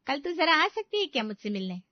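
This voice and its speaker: A woman acting an outspoken middle-aged aunt from a family story, high-pitched and full of scolding exclamations. She is affectionate and indignant at once. The sound is dry and a little dull. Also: very animated, brisk, dry recording. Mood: cheerful. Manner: scolding with exclamations and motherly concern.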